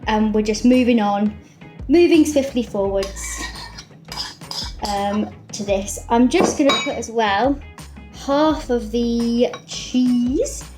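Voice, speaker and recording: A woman's voice: fairly high pitch, slow. A woman chatting casually and cheerfully as she talks through a recipe she is cooking at home. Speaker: home cook presenting a recipe. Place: home kitchen, indoors. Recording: roomy kitchen with music and clattering dishes.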